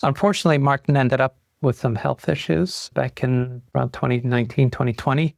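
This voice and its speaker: A man's voice: mid-range voice, steady tone, a little fast, gravelly, a little mellow, no echo. Enthusiastic man from Europe, dry close sound. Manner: fluent, enthusiastic storytelling with occasional fillers. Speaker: podcast guest, investor. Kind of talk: conversational interview answers.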